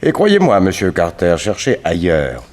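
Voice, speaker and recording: Low-voiced, nervous, evasive suspect. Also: male voice, huge pitch swings, measured pace, a little mellow, exaggerated voice. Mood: indignant, defensive. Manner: hesitant, defensive, indignant. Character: small, nervous, sharp-eyed man of thirty-five, evasive suspect. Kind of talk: 1960s French radio detective drama acting.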